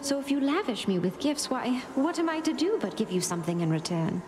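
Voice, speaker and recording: An actress playing a seductive, teasing goddess of love in a video game, languid and coy. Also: female voice, sits high, very animated, brisk, noisy background.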